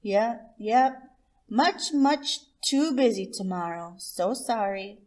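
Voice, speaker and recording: A sly, lazy storybook rabbit acted out by a woman reader, a male trickster who stretches out his excuses with mock innocence. The words come slowly and deliberately, at a medium pitch. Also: animated, a little mellow. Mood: falsely apologetic. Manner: feigned innocence, drawn-out excuses.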